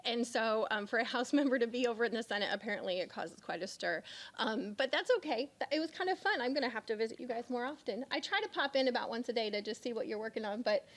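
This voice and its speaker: A woman's voice: a little high, expressive, fast talker. A woman state representative, speaking casually at a public forum with frequent fillers and restarts. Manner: chatty, self-deprecating, many fillers and restarts.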